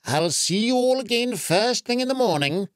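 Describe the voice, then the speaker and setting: A storyteller voicing a kindly, knowing old male wizard with formal, measured storybook phrasing. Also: medium pitch, lively intonation, no echo, studio quality, pitched above the performer's own voice, close to an everyday voice. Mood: kindly, tired.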